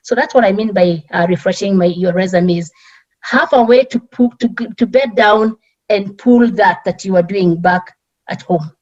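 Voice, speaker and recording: A woman's voice: medium pitch, a little mellow. Kenyan woman, lo-fi call audio. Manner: halting, restarts often, earnest. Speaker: guest speaker. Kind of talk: community talk panel.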